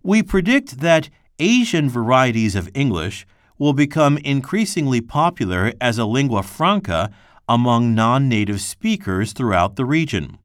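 A man reading a textbook passage aloud for a language lesson, slow and careful, with clear pauses between phrases. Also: mid-range voice, very animated, no echo, clean studio sound. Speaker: textbook reader.

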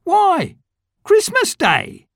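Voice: very high voice, wildly swooping pitch, extremely slow, creaky, dry recording, clean studio sound, voice pushed up, exaggerated voice. A reader voicing a young boy in the street, startled and full of wonder, exclaiming his answers. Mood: astonished. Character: young street boy, wide-eyed and astonished.

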